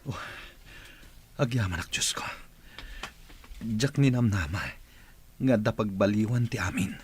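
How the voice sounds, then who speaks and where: A solemn, ailing Ilocano Filipino boss acted by a man in a radio drama. His voice is very low and rough-edged, the voice of an employer set on getting well. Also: unhurried, no room sound. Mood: earnest, hopeful. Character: ailing male boss, solemn, longing to recover.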